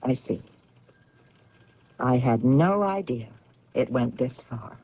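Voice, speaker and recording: A woman's voice: a bass voice, lively intonation, slow pace, slightly rough, phone-quality sound, exaggerated voice. A woman acting in an old-time radio drama as an insistent wife convinced that her husband is alive, earnest and emphatic under strain. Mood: wounded, defiant.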